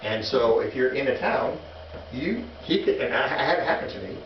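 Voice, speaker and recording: A man teaching a missions class in a relaxed, halting way, with many restarts and fillers while telling stories. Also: medium pitch. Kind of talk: informal classroom lecture. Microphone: distant room mic. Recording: echoey, distant, muffled classroom recording with noise.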